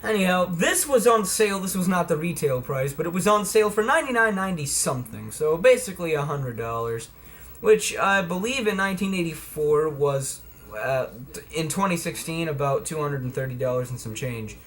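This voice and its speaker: A man's voice: fairly high pitch, animated, brisk, fairly bright tone, slight background noise. A man giving a casual, folksy and playful product demonstration in a homemade video. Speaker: vlogger presenting vintage electronics.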